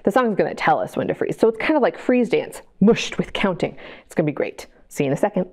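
A woman's voice: fairly low pitch, animated, fast talker. A woman librarian leading a children's storytime, reading picture books aloud and talking encouragingly to the kids at home through her camera. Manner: bright, encouraging, talks to kids. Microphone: camera mic.

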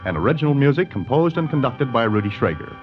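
A male 1940s radio announcer reads scripted credits. His voice is middle-pitched, with music clearly behind it and a dull vintage sound. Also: very animated, fast talker.

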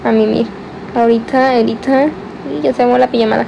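A woman's voice: a little high, level tone, gravelly, dark tone, a little hiss or hum, poor audio. Chatty teen girl on a livestream. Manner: chatty, teasing, drawn-out words. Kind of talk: casual livestream chat, reading viewer comments. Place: small dim bedroom, indoors at night. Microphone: phone held close, selfie framing.

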